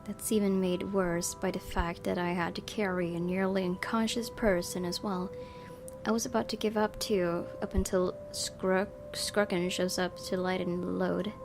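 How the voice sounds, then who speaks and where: A woman's voice: lowish voice, level tone, moderate pace, vocal fry. A woman hosting a gaming video, reading a visual novel's narration aloud and dropping in casual asides. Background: game music.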